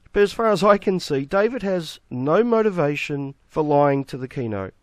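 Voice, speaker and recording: Man, croaky flu voice, sarcastic, rebutting, dry sound. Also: a little high, dry recording. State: has the flu, croaky throat. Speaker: YouTube commentator. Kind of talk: argumentative response video.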